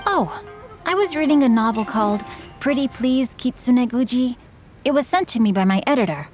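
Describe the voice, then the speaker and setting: A woman's voice: a little high, expressive, moderate pace, darkish tone, soft background music, natural voice. A woman voicing a polite, bookish youth in a video game, with refined, formal and courteous speech. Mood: composed, genial.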